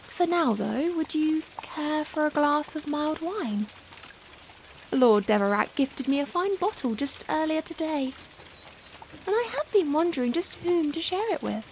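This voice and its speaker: Woman voicing a young Victorian vampire lady, high soft voice, very unhurried, genteel and caring, ASMR roleplay. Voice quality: soft, gentle. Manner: formal, genteel, unhurried old-fashioned phrasing. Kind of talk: softly spoken roleplay ASMR. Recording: steady rain noise behind the voice. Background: rain ambience.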